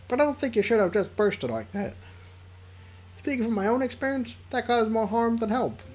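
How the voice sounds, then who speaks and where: Brief: streamer doing an upbeat young male friend, squeaky and animated, slightly husky. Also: moderate pace, lightly acted. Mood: encouraging. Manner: upbeat, reassuring, chatty advice.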